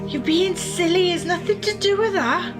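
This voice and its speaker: A woman's voice: very high voice, normal speed, music in the background. A young woman acting a defiant, exasperated grown daughter in a radio play. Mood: defensive.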